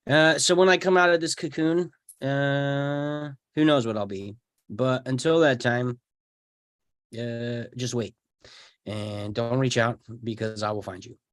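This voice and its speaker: A man introducing himself on a video call in a halting, joking, casual way. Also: medium pitch, steady tone, clear, resonant tone, no echo, studio quality. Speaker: actor.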